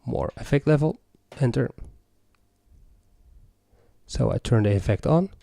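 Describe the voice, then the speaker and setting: A man's voice: mid-range voice, expressive, a little slow, gravelly, warm tone, no room sound. Man giving a dry, step-by-step tutorial. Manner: methodical, explains step by step. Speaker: music gear tutorial presenter. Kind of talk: step-by-step hands-on demonstration.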